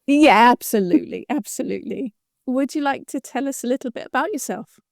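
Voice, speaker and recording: A woman's voice: high voice, animated, fairly quick, vocal fry, dry recording, studio quality. A woman hosting a podcast interview in a chatty, jokey manner. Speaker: podcast host.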